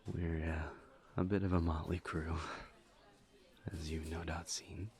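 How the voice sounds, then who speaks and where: A man performs a gentle incubus in an intimate audio roleplay. His voice sits very low and breathy, often close to a whisper, with a heavy fry. He talks slowly and evenly, choosing words with tender, reserved sincerity. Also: flat delivery. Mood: sincere, vulnerable. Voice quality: breathy, soft, near-whispered, gravelly low voice. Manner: slow, halting, tender and sincere. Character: gentle, earnest, reserved male incubus demon friend. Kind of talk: intimate audio roleplay, one side of a conversation.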